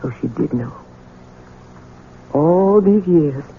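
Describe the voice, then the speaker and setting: A tinny vintage radio sound frames a husky middle-aged housekeeper. She speaks humbly and folksily, anxious and protective, in old radio mystery style. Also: low-pitched, expressive, takes it slowly, exaggerated voice. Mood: anxious, distressed. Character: anxious, deferential older housekeeper, protective mother. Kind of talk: 1970s radio drama acting. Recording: tinny 1970s radio recording.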